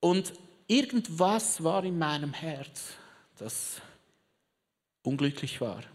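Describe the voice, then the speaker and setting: Slow, theatrical preacher in a reverberant hall. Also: mid-range voice, lively intonation. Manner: theatrical storyteller, rallies the crowd; chatty asides, joking with the audience. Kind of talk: lively sermon with audience participation. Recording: long reverb of a big hall.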